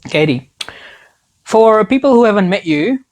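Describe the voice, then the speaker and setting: A man's voice: sits high, expressive, a little slow, a little mellow. A man hosting a podcast, asking questions casually and hesitantly, with plenty of fillers. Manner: hesitant, many fillers and restarts. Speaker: podcast host. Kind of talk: casual interview.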